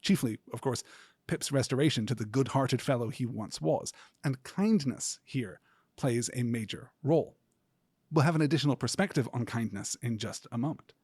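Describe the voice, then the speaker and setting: Podcast guy doing a bookish lecture, measured and earnest, kinda husky, dry close sound. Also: mid-range voice, animated, darkish tone, no echo. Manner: articulate, measured, earnest, academic. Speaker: podcast host. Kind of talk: scripted literary lecture.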